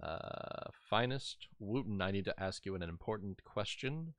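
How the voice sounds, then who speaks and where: A man's voice: fairly low pitch, animated, a little slow, a bit bright. A man in a casual tabletop gamers' chat, talking informally and swearing freely. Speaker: tabletop role-playing game player.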